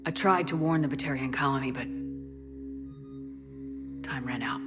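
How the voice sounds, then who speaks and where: Low-voiced, resolute space commander. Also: female voice, moderate pace, music in the background. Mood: guarded, firm. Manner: terse, firm, matter-of-fact. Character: tough, resolute female space military commander. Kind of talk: video game dramatic acting.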